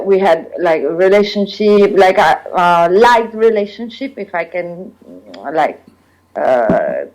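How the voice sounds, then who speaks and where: A woman with an Italian accent, telling her own story as an interview guest, pausing often to search for English words. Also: medium pitch, a little mellow, rough recording. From Italy. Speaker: guest sharing personal experience. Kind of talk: earnest personal account in interview.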